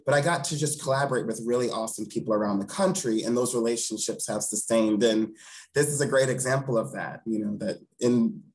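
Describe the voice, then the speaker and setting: A man's voice: medium pitch, a little fast, darkish tone, low-quality recording. Man chatting as an interview guest. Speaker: guest, theatre director. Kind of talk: casual conversational interview. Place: living room at home. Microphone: video call.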